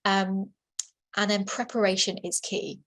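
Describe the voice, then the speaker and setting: A woman's voice: medium pitch, fairly even intonation, measured pace, a bit bright. A woman giving a webinar talk as a workplace assessor, informal and chatty, with lots of fillers and restarts, over a computer microphone. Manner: informal, repeats herself, many fillers and restarts.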